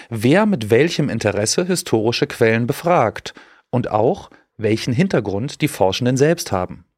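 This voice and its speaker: Male podcast host, measured scripted read, mid-range voice, dry sound. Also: medium pitch, a little mellow, no room sound.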